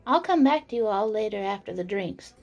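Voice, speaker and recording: A woman's voice: mid-range voice, lively intonation, fairly quick, lightly acted. A woman playing a busy, polite and chatty tavern serving woman in a fantasy audio drama. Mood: chatty, attentive. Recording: background music under the voice.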